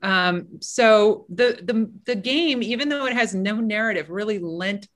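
Chatty woman guest w/ a big bright voice, lots of restarts, jokes at herself. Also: medium pitch, a little slow. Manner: chatty, self-deprecating, many restarts. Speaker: guest screenwriter. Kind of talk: casual podcast conversation.

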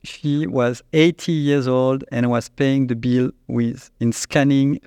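A man with a French accent, a company CEO, answering interview questions in a halting, searching way with frequent restarts. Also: medium pitch, fairly even intonation, a little mellow, clean tone, dry recording. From France. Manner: halting, restarts often, searches for English words.

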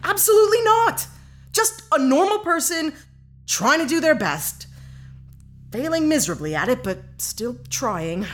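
A woman's voice: sits high, very animated, a little slow, a bit bright, full character voice. A woman playing an earnest, indignant, plain-spoken investigator heroine in a sci-fi audio drama. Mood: earnest, impassioned. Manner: earnest, blunt, outspoken. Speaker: talk-show guest character.

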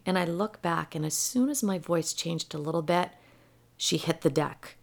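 A woman gently telling a personal story about healing a rescued dog, her voice soft and often dropping to a near whisper. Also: lowish voice, creaky. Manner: gentle and slow-paced. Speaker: animal healer.

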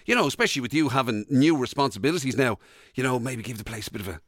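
Irish radio guy, lively up and down voice, dry sound, halting needling banter. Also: mid-range voice, no room sound. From Ireland. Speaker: radio show co-host.

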